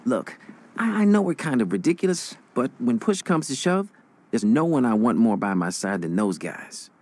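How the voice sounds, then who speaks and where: An actor playing a young crew boss in a video game, cocky but earnest, with a casual, slangy way of talking. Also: male voice, mid-range voice, big pitch swings, normal speed, darkish tone. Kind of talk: video game cutscene acting.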